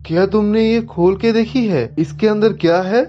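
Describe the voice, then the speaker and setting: A man playing a kindly, grateful stranger in an animated moral story. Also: high voice, moderate pace, close to an everyday voice. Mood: curious. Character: grateful, kindly man who lost his bag. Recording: muffled audio with background music.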